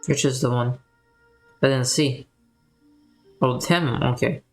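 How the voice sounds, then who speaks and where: Very low-pitched woman streaming. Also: slow, darkish tone, faint music behind. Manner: offhand short remarks to self. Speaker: video game streamer. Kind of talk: casual game commentary.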